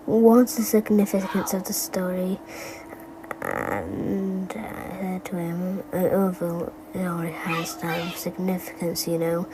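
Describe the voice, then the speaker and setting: A woman voicing an absent-minded animatronic bear from a game, who tells stories in a halting, stammering, deadpan way and wanders off the point. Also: fairly low pitch, creaky, background noise. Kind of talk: fan recital of game voice lines.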